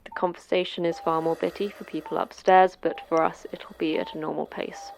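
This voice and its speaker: A woman's voice: deep voice, flat delivery, brisk, gravelly, a little mellow, in a whisper, rough recording. A woman playing the terse, stern manager of a secret facility in a sci-fi horror audio drama, speaking in clipped, commanding instructions. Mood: grave, instructive.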